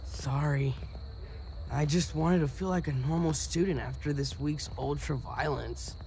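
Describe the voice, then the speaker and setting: A nerdy young male student, awkward and a bit tipsy, talking in a halting, self-deprecating way. It is video game acting with faint night ambience behind. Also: fairly high pitch, a little mellow, a little hiss or hum. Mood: sheepish.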